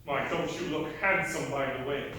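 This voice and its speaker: A very roomy, far-miked theatre recording of a man playing a bullying tattletale on a ship. His voice is sharp and bright, and he accuses and taunts, with faint noise behind. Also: on the high side, normal speed. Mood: taunting. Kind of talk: stage play acting. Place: theatre stage, picked up from afar. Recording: echoey hall, distant mic, faint noise.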